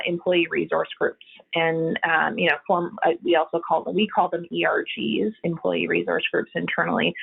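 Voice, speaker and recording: Woman exec guest, husky and gravelly, earnest and halting, heard over a tinny phone line. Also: fairly low pitch. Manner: earnest, explanatory, frequent hesitations. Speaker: HR executive, podcast guest. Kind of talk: conversational interview answers.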